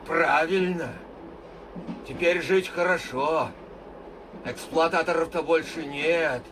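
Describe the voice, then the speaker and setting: A lecturing old Soviet veteran. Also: male voice, on the high side, takes it slowly, fairly bright tone, slight background noise, rough recording. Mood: heated. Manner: lecturing, grows heated and emphatic. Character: older male Soviet veteran, boastful and lecturing. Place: train compartment.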